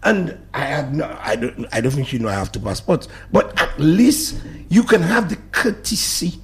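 A Dominican man talks on a radio discussion show with a gravelly, lively voice. He presses his points in emphatic bursts, his pitch leaping up and then dropping low. He sounds like a studio guest with strong opinions. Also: normal speed. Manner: animated, emphatic, swings between loud bursts and low mutters. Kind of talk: passionate talk-radio discussion.

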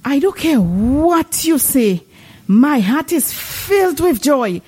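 Excitable devout old village lady, female actor: Dominican Caribbean accent, very high and animated, gushing, drama dialogue. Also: slow, exaggerated voice. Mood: joyful, earnest. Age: older. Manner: gushing, exclamatory. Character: excitable, devout, gullible older village woman.

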